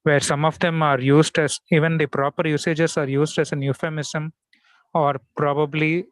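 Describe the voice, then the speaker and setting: A man's voice: on the high side, flat delivery, no echo, poor audio. Man asking a question, dry sound. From India. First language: Tamil. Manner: polite, deliberate, with fillers. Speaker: audience member asking a question.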